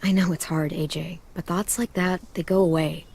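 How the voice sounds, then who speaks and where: A woman playing a protective teenage girl who leads survivors in a game drama, with a husky voice and a calm, guiding, dry manner. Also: lowish voice, normal speed. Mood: gentle, guiding. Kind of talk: video game dramatic acting.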